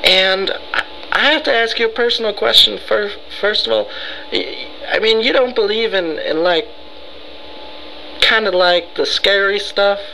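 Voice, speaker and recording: High, creaky, frightened rambling man, put on by a prankster. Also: sharp tone, slight background noise, exaggerated voice, low-quality recording. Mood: nervous, earnest. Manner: rambling, halting, melodramatic, pleading. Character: frightened, rambling, easygoing man reporting a ghost. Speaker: prank caller. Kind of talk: improvised phone prank.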